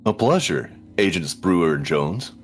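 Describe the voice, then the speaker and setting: A man playing an unflappable, courteous investigator in a tabletop game, speaking in a measured, formal, dryly condescending way. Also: low voice, very animated, music in the background.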